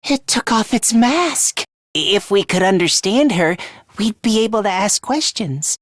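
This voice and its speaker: A man's voice: high-pitched, lively intonation, unhurried, dry recording, studio quality. A man voicing a timid, self-loathing young male academy fighter in a video game, hesitant and prone to stammering. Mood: hesitant, meek.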